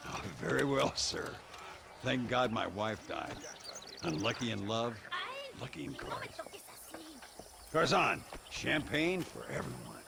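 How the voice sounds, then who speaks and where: A man's voice: medium pitch, huge pitch swings, takes it slowly, vocal fry, pauses often, heavy background noise, heavily acted. A man playing a genial, wry frontier gambler in a video game cutscene, florid and courteous. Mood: jovial.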